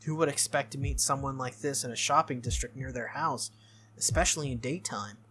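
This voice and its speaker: A game playthrough host reading a visual novel aloud in an expressive way, with casual reactions. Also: mid-range voice, a little fast, a little hiss or hum. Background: game music.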